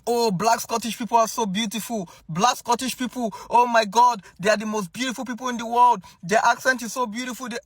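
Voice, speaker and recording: A man talks playfully in a casual social media clip and teases his viewers between giggles. His voice sits high for a man, and the sound is dry and close. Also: a bit bright, dry recording. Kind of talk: casual video monologue.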